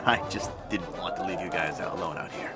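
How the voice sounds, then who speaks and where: A man's voice: low-pitched, fairly quick, over a noisy crowd, natural voice. A man playing a friendly, considerate young soldier hero in an audio drama, casual and sincere. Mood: apologetic, casual.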